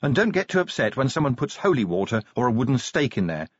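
A man voicing a brisk, world-weary, irritable female police sergeant in an audiobook. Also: mid-range voice, very animated, slightly rough, dry recording, lo-fi sound, voice pushed up. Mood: brisk, awkward. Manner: brisk, matter-of-fact.

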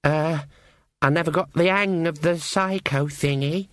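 A man's voice: a little high, a little slow, a bit bright, dry recording, clean studio sound, voice pushed up. A man voicing timid, embarrassed young would-be witch girls in an audiobook, hesitant and deferential. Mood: nervous, meek.

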